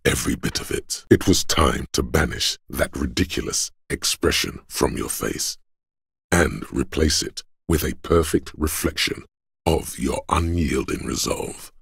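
A man voicing a grim, stoic inner voice of endurance for a game, in a solemn, portentous manner with a husky, gravelly quality. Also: very deep voice, measured pace, fairly bright tone, no room sound, studio quality, exaggerated voice. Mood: solemn, menacing. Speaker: voice actor. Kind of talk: dramatic game narration, second person.

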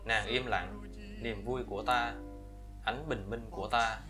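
A man's voice: lowish voice, fairly even intonation, measured pace. A man reads a scripted voice-over translation for a fairy-tale cartoon. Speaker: voice-over translator reading all characters. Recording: voice-over laid over loud film soundtrack. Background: operatic music from the film.

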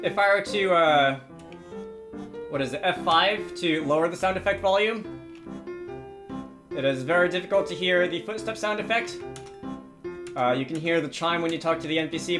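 A man presenting a casual, unscripted screencast tutorial, hesitantly thinking aloud as he works. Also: a little high. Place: indoors at a computer.

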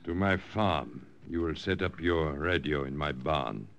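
Deep-voiced French Resistance man, wary. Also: a little slow, a bit bright, full character voice. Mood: guarded. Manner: formal, pointed, suspicious. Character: wary French Resistance fighter and farmer, hostile to Germans. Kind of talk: old-time radio drama acting. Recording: vintage radio drama recording.

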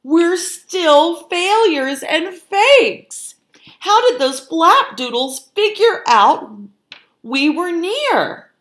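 Woman acting out high-pitched frantic storybook fox. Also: big pitch swings, fairly bright tone, heavily acted. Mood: fretful. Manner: exclaiming, drawn-out, theatrical delivery. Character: frantic, hungry fox brothers, boastful and fretful.

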